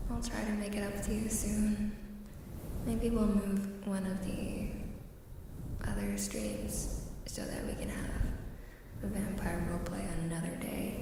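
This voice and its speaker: A woman streamer chatting softly in ASMR style, often slipping into a breathy whisper, hesitant and sounding unwell. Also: medium pitch. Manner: slow, hesitant, halting, apologetic. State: unwell, queasy. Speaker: live streamer. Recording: very echoey, distant, faint background noise.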